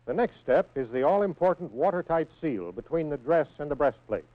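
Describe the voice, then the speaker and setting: A man reading scripted instructional narration for a training film in a measured, precise manner. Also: on the high side, expressive, no room sound. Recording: tinny band-limited old film soundtrack.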